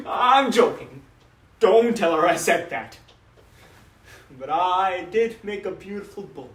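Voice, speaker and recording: A man performing a dramatic monologue as a proud, grieving middle-aged father, emotive and full of pauses. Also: high voice, very animated, heavily acted. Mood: boastful, joking. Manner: theatrical, emotive, with dramatic pauses and repeated phrases. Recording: echoey room, distant microphone.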